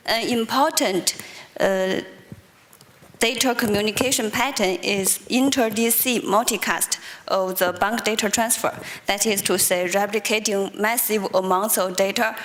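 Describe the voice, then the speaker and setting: A young woman giving a technical conference talk in a large hall at a podium mic, measured and pausing often. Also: medium pitch. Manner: measured, with frequent "uh" pauses. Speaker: conference presenter.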